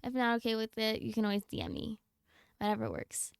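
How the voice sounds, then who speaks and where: A woman's voice: on the high side, very animated, fairly quick, gravelly, smooth, no echo, studio quality. A young adult woman livestreamer chatting casually with her viewers in a slangy, blunt, candid way. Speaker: game livestreamer.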